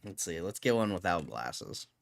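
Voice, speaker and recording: Man, lowish voice, hoarse, keeps clearing throat, laid-back swearing streamer. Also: very animated, normal speed. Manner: laid-back, swears casually, mutters while reading. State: losing his voice, throat-clearing. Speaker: stock market live streamer. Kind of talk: casual live stream chat.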